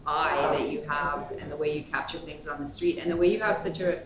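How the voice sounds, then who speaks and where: A woman's voice: low-pitched, fairly even intonation, brisk, background noise. A woman speaking as an editorial director, encouraging and affirming as she explains the work. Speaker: editorial director of a peer newsroom. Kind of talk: interview remarks and meeting talk. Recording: roomy echo, chatter of a meeting.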